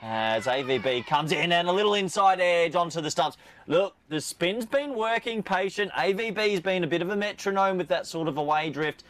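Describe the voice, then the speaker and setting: A man's voice: sits high, expressive, moderate pace, a bit bright, dry recording. An Australian man doing live cricket commentary, chatty and joking with his co-commentator while reading out viewer questions.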